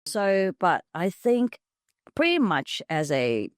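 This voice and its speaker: A woman, talking candidly as an interview guest with quick self-deprecating asides. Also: on the low side, very animated, smooth, dry recording, studio quality. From Hong Kong. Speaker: guest, prosthodontist. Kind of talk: casual interview conversation.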